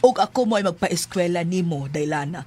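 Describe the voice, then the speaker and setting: A woman acting as a warm, insistent middle-aged mother in a radio drama, with a Cebuano Filipino accent. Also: lowish voice, fairly quick, slightly rough. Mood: persuasive.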